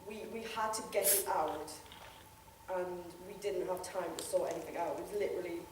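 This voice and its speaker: A woman's voice: lowish voice, fairly quick, background noise. A young woman from Newcastle chatting casually on a convention panel about amateur voice acting, often laughing and acting out what others said. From Newcastle, England. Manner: chatty, laughs often, acts out quoted remarks. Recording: echoey hall, voice from far off.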